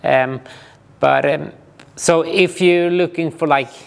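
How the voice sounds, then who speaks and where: Slow, halting man explaining gear. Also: fairly high pitch, steady tone, gravelly, clear, resonant tone. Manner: hesitant, halting delivery with frequent pauses. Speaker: ski touring guide and instructor. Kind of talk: informal gear explainer to camera. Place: small indoor gear shop room.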